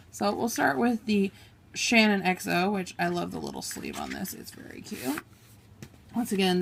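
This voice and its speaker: A woman's voice: lowish voice, gravelly, a little hiss or hum. A woman vlogger chatting casually through a makeup haul, swatching shades on her arm and apologizing for mispronouncing names. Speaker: beauty vlogger. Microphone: camera on a table, filming her hands.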